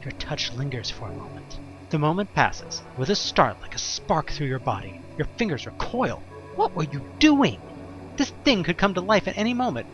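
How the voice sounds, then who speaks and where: Man, horror story narration, highly animated pitch, suspenseful, theatrical, wry asides, muffled lo-fi recording. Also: moderate pace. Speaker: narrator of an audio drama. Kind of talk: dramatic second-person horror story narration. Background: music.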